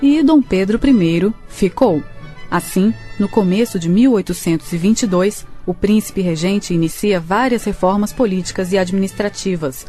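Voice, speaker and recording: A Brazilian woman narrates a historical radio serial from a script. Her voice is medium in pitch and mellow, with soft music behind it. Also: moderate pace.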